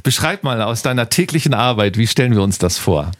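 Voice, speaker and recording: A man's voice: mid-range voice, lively intonation, fairly quick, no echo, clean studio sound. A man hosting a podcast in a casual, friendly way, tossing questions and tag questions to his guest. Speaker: podcast host. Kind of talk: casual interview chat. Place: studio, in a room with the guest.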